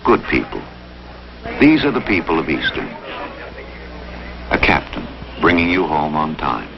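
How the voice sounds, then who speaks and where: A man's voice: rumbling low, wildly swooping pitch, unhurried, gravelly. A man reading scripted advertising narration as a television commercial announcer. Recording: muffled noisy 1960s TV audio.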